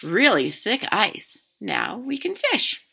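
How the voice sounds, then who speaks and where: A woman voicing an earnest, protective father in a storybook, giving emphatic, drawn-out warnings. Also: mid-range voice, huge pitch swings, creaky, pushed and bright, breathy edge, voice pushed up, full character voice. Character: earnest, protective father, adult man, warning his daughter.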